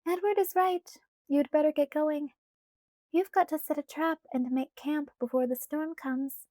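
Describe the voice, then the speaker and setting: Bubbly, wheedling young female vampire, read by a woman, high and bright, dry studio. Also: steady tone, measured pace, dry recording. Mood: chirpy. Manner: wheedling, sing-song, eager. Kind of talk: audiobook character voice.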